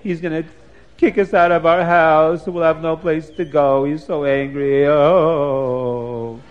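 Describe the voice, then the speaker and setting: A man's voice: a little high, fairly even intonation, takes it slowly, highs cut off. A man voicing a distraught, hopeless town manager with a wailing lament, in a children's story. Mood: wailing, anxious.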